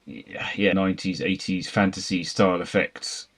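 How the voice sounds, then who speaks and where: A man's voice: deep voice, steady tone, moderate pace, fairly bright tone, husky. A man talking casually as a panelist on a film review podcast.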